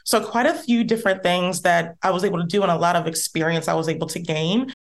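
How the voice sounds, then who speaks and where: A woman guest on an interview podcast who explains her work in a lively, emphatic conversational manner. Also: on the low side, quick pace. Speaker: podcast guest, content strategist.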